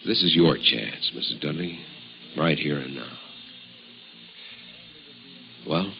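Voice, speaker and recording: An actor playing a stern, pressing deputy district attorney in a radio drama, speaking formally and forcefully with deliberate phrasing. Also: male voice, extremely low pitch, animated, gravelly, exaggerated voice. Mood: threatening, pressuring. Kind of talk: 1950s radio drama acting. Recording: muffled, noisy old radio recording.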